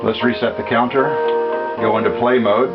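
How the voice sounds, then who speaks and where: A man giving an unscripted technical demonstration as a seller, matter-of-fact and halting, with frequent uhs. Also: mid-range voice, little pitch movement. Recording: dull lo-fi audio, music behind. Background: music played from demonstration equipment.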